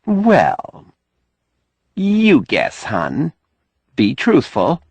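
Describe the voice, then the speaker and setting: A man's voice: fairly high pitch, huge pitch swings, very slow, darkish tone, no room sound, pitched above the performer's own voice, full character voice. A man voicing a cheerful, brassy middle-aged woman from a novel, with a folksy, affectionate, teasing manner. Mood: coaxing. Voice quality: husky.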